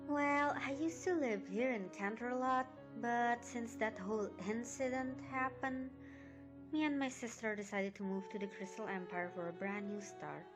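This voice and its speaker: A friendly, modest young pony mare from a fan cartoon audio drama, acted by a woman, with a fairly high voice. She speaks in an easy, unassuming way while music plays clearly behind. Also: normal speed, close to an everyday voice. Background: background music.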